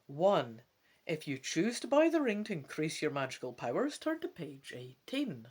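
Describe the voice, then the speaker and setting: A woman with a Scottish accent hosts a gamebook let's-play. Her voice is on the low side and very animated, moving between dramatic reading and informal commentary with theatrical expression. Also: normal speed, breathy edge.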